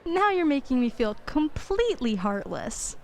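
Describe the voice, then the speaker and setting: Woman playing a high-voiced, sensible, proper mother. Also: sing-song, moderate pace, a little mellow, a little hiss or hum. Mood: firm, earnest. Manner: earnest, proper, firm. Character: sensible, guarded divorced working mother. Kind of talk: radio play acting.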